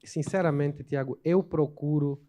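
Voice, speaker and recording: Warm-toned Angolan man telling stories animatedly. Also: on the high side. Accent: Angolan Portuguese. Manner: animated, voices other people in quick asides. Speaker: author guest. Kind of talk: relaxed conversational interview.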